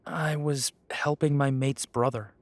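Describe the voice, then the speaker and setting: A man performs a young half-breed werewolf for an audio drama. His voice sits at a middle pitch, unhurried and recorded very dry. He sounds guarded and blunt, with a hesitant, self-doubting defensiveness. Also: steady tone, no echo, natural voice. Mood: flustered, denying. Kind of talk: scripted roleplay audio drama.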